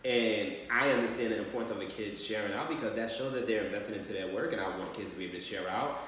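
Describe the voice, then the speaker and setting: Guy with a lowish voice explaining stuff like school staff, super echoey, mic far away. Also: fast talker, a bit bright. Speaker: school staff member calling a parent. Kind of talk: training role-play of a parent phone call. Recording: very echoey hall, distant mic, faint noise.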